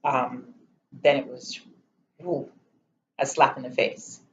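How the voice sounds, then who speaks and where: A middle-aged woman from Jamaica with a Jamaican accent, recounting her life story in an interview in a measured, candid way. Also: very deep voice, steady tone. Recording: slightly muffled, faint room noise.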